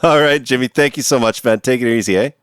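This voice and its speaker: A man's voice: medium pitch, fast talker, smooth, dry recording, clean studio sound. A man, hosting a podcast in an easygoing, swearing, casual way. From Vancouver, Canada. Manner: easygoing, swears, laughs often. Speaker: podcast host. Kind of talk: casual interview chat.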